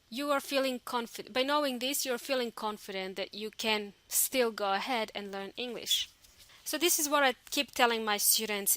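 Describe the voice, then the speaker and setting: A woman's voice: a little high, pushed and bright, dry recording. A woman with a Spanish accent, an English teacher talking through a podcast lesson in a chatty, encouraging, unscripted way with fillers and restarts.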